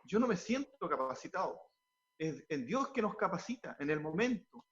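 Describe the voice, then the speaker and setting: A pastor gives earnest, halting answers in a fairly high voice, repeating words as he goes and drifting into preaching. The sound is dull and lo-fi. He is a man speaking in an interview. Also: big pitch swings, a bit bright.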